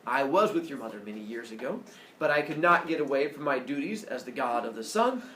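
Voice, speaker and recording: A man's voice: mid-range voice, lively intonation, fairly quick, a bit bright, natural voice. A man voicing a mighty, gigantic sun god and solemn father in a myth storytelling, grand and declamatory. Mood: solemn, proud. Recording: roomy, distant mic, faint noise.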